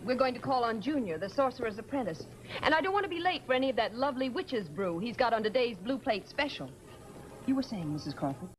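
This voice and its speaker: An actress playing a brisk, bossy, wisecracking female sleuth with wry delivery in an old-time radio drama. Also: a little high, sing-song, a little hiss or hum, low-quality recording. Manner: forthright, wry.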